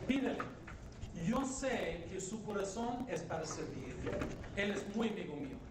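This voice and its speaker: A man's voice: high voice, very animated, normal speed. A middle-aged man who is a bishop, a native English speaker giving a jovial conference talk with questions, mixing Spanish and English. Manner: jovial storyteller, asks "right?" often. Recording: echoey hall, distant mic, background noise.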